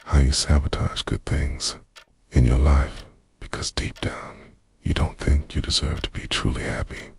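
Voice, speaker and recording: A man playing an obsessive, hurt, manipulative boyfriend in an intimate ASMR roleplay, speaking softly and insistently close to the microphone. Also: little pitch movement, unhurried, dry recording, exaggerated voice. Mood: quiet, intense. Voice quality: soft, low, breathy at times. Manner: intimate, insistent, pleading and accusing. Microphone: close microphone, dry sound.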